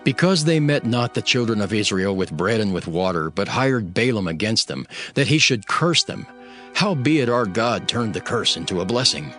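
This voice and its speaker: A male audiobook narrator with a fairly low voice reads scripture as a dramatized performance. His delivery is solemn and measured, like a formal declamation. Soft music plays behind him. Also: animated. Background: background music.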